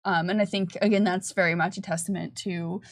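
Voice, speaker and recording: A woman's voice: on the low side, fairly even intonation, fairly quick. A woman chatting casually as a game developer on a livestream, explaining things with enthusiasm. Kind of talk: casual chat.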